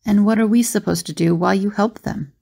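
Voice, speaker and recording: A woman acting as a calm, curious and perceptive companion in an audio drama, with a creaky voice and probing manner. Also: medium pitch, brisk. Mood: questioning.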